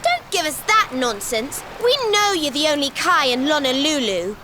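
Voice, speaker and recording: Very high-pitched, bossy little girl. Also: very animated, moderate pace, very noisy. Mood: scolding. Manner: blunt, indignant, haughty. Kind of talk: scripted video game voice acting. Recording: loud game music behind the voice. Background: loud music.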